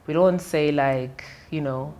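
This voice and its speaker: A woman's voice: low voice, takes it slowly, a little mellow. A woman, a business owner, explaining her business earnestly in a casual interview. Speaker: guest, entrepreneur.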